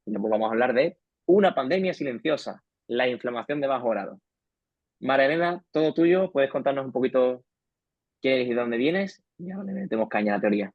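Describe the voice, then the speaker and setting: Fast-talking host on a tinny call line. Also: male voice, medium pitch, expressive, no room sound. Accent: Spain Spanish. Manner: brisk and friendly, addresses the audience directly. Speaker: host of an online colloquium. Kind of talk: hosting a video-call talk. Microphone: video call. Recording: dry, tinny phone-like audio.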